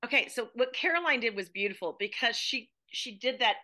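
A woman from the United States, an expressive podcast guest discussing drama conversationally and with emphasis. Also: fairly high pitch, a little fast.

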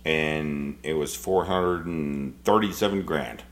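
A man's voice: rumbling low, slow pace. A man in his forties, co-hosting a podcast in casual chat, rambling and blunt with frequent swearing. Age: 40s. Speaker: podcast co-host.

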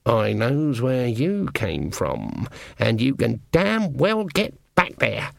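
A man's voice: a little high, expressive, measured pace, fairly bright tone, dry recording. A stern old woman witch from a storybook, acted out by a male narrator. She is proud and stubborn, talking in blunt, morose rustic phrases, recorded close and dry. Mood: menacing.

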